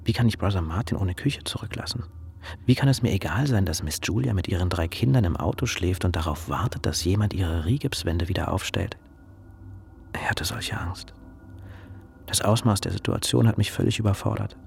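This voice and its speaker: An actor playing a devout, overwhelmed relief helper in a German radio drama, speaking earnestly with preacher-like rhetorical repetition. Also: male voice, low voice, normal speed, creaky. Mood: anguished.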